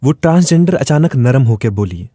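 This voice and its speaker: Mellow male story narrator, dry sound. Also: medium pitch, big pitch swings, moderate pace, no room sound. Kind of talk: scripted first-person dramatic story narration.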